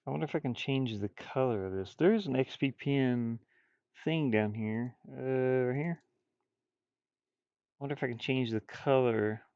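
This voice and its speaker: A man with a mid-pitched voice talks casually to a stream while he works on a drawing. He mutters and thinks aloud in a folksy, informal way. The sound is dry and slightly dull, like a modest microphone. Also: unhurried, darkish tone, smooth, no echo. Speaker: streamer and hobby artist.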